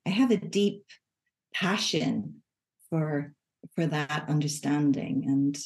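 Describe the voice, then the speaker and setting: Older woman from Ireland, slow and mellow. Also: deep voice. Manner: earnest, searching, restarts phrases. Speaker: spiritual teacher. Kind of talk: reflective conversation.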